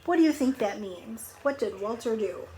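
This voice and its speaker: A middle-aged woman reads a picture book aloud to young children, pausing to explain simply and ask questions in a conversational way. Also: a little high, lively intonation, dark tone. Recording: clearly noisy home recording.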